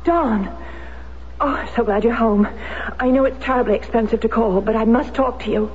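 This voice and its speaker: An actress playing a war widow who is determined to learn the truth, speaking in an earnest, urgent way. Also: female voice, on the high side, normal speed, darkish tone, close to an everyday voice. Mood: pleading. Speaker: lead actress in a radio drama. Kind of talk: 1970s radio drama acting. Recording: dull old radio recording, faint hiss.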